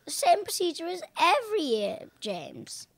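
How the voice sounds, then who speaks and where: A woman's voice: very high voice, very animated, normal speed, no echo, exaggerated voice. A young woman playing a genteel, imperious elderly lady hosting dinner in an amateur radio play. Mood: gracious, formal. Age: young adult. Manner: formal, imperious.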